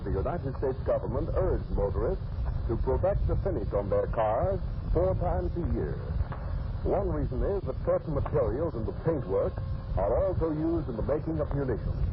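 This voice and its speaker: Man, old-time radio announcer, formal persuasive read, muffled lo-fi, loud hiss. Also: mid-range voice. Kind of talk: wartime radio commercial. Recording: noisy lo-fi 1940s radio recording.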